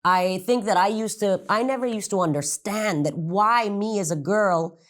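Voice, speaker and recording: Frank, expressive woman podcast guest. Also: mid-range voice, normal speed. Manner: expressive and frank, laughs often. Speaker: guest, real estate company founder. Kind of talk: candid podcast interview.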